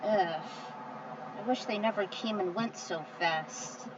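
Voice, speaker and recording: A woman's voice: medium pitch, slow, dull audio, very noisy. A woman hosting with casual commentary and reading a story she has written out. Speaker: host and fan fiction reader.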